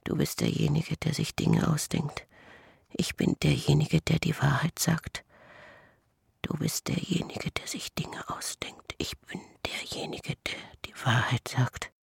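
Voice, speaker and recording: A man voicing an obsessive twin, whispery and raspy. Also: fairly high pitch, no room sound, clean studio sound, full character voice. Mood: accusing. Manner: insistent, slow, secretive. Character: scarred, obsessive twin brother pressing buried memories. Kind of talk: intimate radio drama acting.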